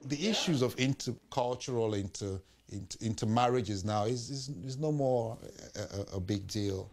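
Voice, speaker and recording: A man from Nigeria, a playwright and director talking in an interview, halting and restarting as he goes. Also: mid-range voice. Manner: lively, halting with restarts and stumbles.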